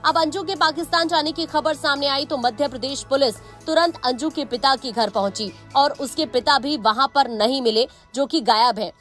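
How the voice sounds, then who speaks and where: A woman's voice: high voice, moderate pace, hardly any pauses, fairly bright tone. A woman with an Indian accent reading a scripted news report as narrator. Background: background music.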